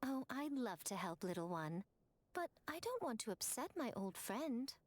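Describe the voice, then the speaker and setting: An actress voicing a sly, playful and teasing elegant priestess in a game scene, over faint music. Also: female voice, fairly high pitch, big pitch swings, moderate pace. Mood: coy. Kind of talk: game voice acting.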